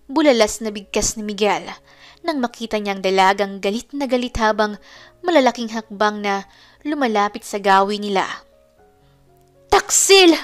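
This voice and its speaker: A woman's voice: mid-range voice, moderate pace, faint music behind. A woman giving a dramatic scripted narration of a romance story.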